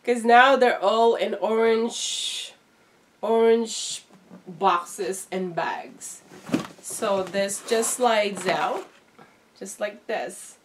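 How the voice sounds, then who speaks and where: A woman's voice: medium pitch, very slow, noisy background. A middle-aged woman, a little congested from flu, talking casually as a vlogger and showing things to viewers in an unboxing video. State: slightly congested, recovering from flu. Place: small indoor room at home.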